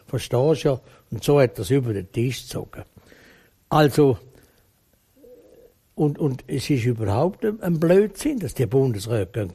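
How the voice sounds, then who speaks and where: Swiss German man, fairly high voice, very animated pitch, blunt and emphatic interviewee. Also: breathy edge. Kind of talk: opinionated conversational interview.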